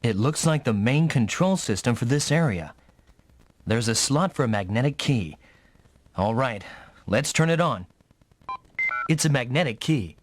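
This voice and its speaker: An actor playing a young, headstrong rookie mech pilot in an anime-style video game, speaking dramatically and often thinking aloud. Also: male voice, medium pitch, animated, a little slow, pauses often, slight background noise, lightly acted. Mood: focused, pleased.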